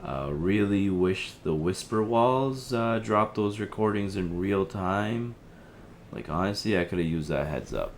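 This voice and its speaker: A man playing an apologetic, evasive helpline listener in an audio drama, speaking in a hesitant, halting, conciliatory way. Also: low-pitched, animated, a little hiss or hum. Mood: weary, guarded. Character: Theo, apologetic, evasive male helpline listener with a troubled marriage. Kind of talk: audio drama, naturalistic phone conversation.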